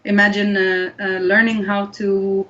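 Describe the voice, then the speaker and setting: Brief: woman, Hebrew native, mid pitch, thoughtful with many restarts, muffled video call sound. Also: little pitch movement, a little slow, fairly bright tone. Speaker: guest researcher. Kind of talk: explanatory interview answers. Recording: slightly dull lo-fi audio.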